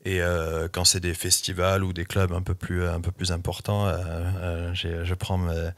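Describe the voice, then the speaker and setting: A man's voice: sits low, level tone, normal speed, a bit bright. A middle-aged man raised in England, English his first language, chatting in an interview as a guest singer-songwriter, with many fillers and restarts. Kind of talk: casual interview chat.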